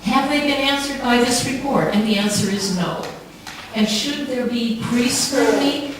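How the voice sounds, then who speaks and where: A woman speaking earnestly and emphatically in a measured way, addressing a council committee as a campaigner in a public meeting. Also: fairly high pitch, crowd noise. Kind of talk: prepared public speech and answers to questions. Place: large town hall meeting room. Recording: very echoey hall, distant mic, clear background noise.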